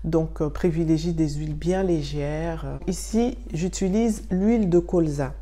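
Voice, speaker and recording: A woman's voice: low-pitched, mellow. A young woman from Mayotte hosting a casual home tutorial, speaking straight to the viewer in a friendly way with frequent hesitations. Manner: friendly, addresses viewer directly, frequent "euh" pauses. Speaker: wellness channel host. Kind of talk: casual tutorial talking to camera.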